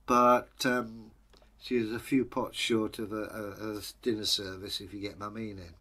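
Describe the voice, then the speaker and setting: A man's voice: fairly low pitch, level tone, normal speed, pushed and bright. A man with an English accent, telling a folktale aloud as a storyteller, with wry, conversational asides. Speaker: storyteller, channel host. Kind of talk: animated oral folktale storytelling.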